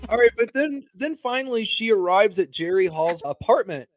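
A man's voice: high-pitched, animated, moderate pace, no room sound, soft background music. A man co-hosting a podcast, recapping a TV episode in a plain, matter-of-fact way. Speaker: podcast co-host. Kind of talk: casual chat, recapping plot.

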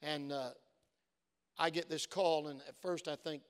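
A man's voice: fairly high pitch, steady tone, measured pace, smooth. A man preaching a sermon as pastor, with a voice worn from use and an earnest storytelling manner that builds to fervent exhortation. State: voice worn from many phone calls. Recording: long reverb of a church hall.

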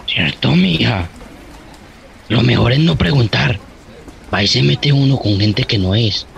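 An actor playing a wary, cautious man with a gravelly voice and a Colombian accent in a radio drama. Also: medium pitch, expressive, a little slow, dull audio, slight background noise. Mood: wary, hushing.

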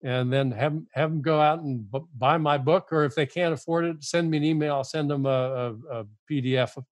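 A man's voice: a little high, fairly even intonation, brisk, dry recording. An older man, a guest author on a podcast, making his case earnestly and often repeating words as he goes. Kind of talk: podcast interview.

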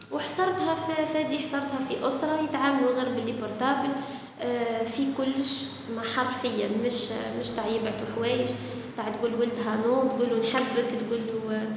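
A woman with an Algerian Arabic accent, a screenwriter speaking thoughtfully in a casual interview and mixing in French words. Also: fairly high pitch. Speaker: screenwriter guest. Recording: echoey room with long reverb, faint noise.

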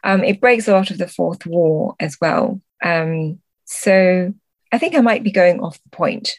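A woman's voice: on the low side, a little slow, no echo. A woman speaking as a podcast guest and translator, explaining literature thoughtfully and often searching for her words.

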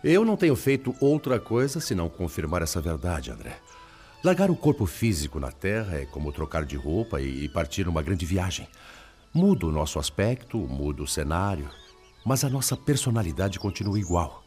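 An actor with a Brazilian accent playing a weary, self-doubting spirit in a radio drama, earnest and melancholy in delivery. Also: male voice, low voice, sing-song, normal speed, darkish tone, faint music behind. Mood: humble, thoughtful.

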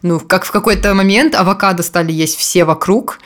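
A woman's voice: fairly low pitch, fairly quick. A young woman from the Murmansk region, co-hosting a podcast in a playful, rambling casual chat. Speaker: podcast co-host.

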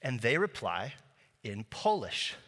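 A man's voice: mid-range voice, big pitch swings, slow pace, background noise. Man preaching in a big echoey hall. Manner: casual storytelling with many fillers and restarts. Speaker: pastor. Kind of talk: sermon with personal story. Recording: long hall reverb.

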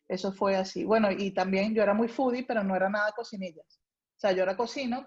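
A woman's voice: mid-range voice, darkish tone. Woman, Venezuelan accent, chatty storyteller, interview guest, dull lo-fi sound. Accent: Venezuelan Spanish. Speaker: guest, food writer. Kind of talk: casual interview chat.

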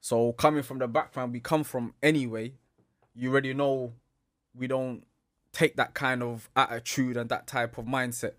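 A man's voice: mid-range voice, little pitch movement, moderate pace, a bit bright, dry recording, clean studio sound. A man from Somalia, explaining himself casually as a podcast guest. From Somalia, moved to UK at eight. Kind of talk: casual explanatory talk about own life.

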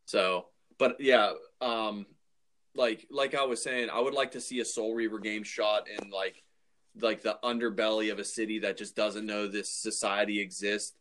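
A man with a fairly low voice hosts a casual podcast. He talks in a loose, blokey, rambling way, like he is thinking out loud among friends. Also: fairly even intonation, normal speed. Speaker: podcast host.

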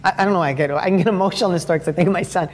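High-voiced man telling an animated story. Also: racing, slight background noise. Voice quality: high-pitched voice. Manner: energetic, rambling, with restarts. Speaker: host and father giving advice. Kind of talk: motivational talk with storytelling.

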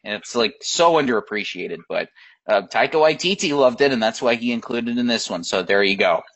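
Male co-host, mid-pitch, loose rambling delivery, casual film talk. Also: expressive, a little fast, fairly bright tone, dull audio. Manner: rambling, many fillers and restarts. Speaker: podcast co-host. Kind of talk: casual chat about films.

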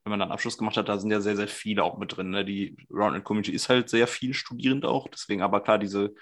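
A man's voice: on the low side, steady tone, fast. A man hosting a casual podcast, chatty, with lots of fillers and tag questions. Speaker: podcast host.

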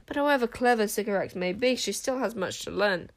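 Woman doing a soft-spoken wizard king, wry and tired, dignified, storybook. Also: mid-range voice, animated, fairly quick, close to an everyday voice. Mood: calm, smiling. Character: wry, weary male enchanter king, calm and dignified.

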